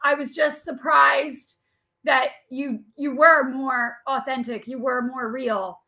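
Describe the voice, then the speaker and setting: Chatty high-voiced female co-host. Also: fairly even intonation, slow, pushed and bright, rough recording. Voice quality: high-pitched. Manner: chatty, eager, agreeable. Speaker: guest co-host. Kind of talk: casual chat.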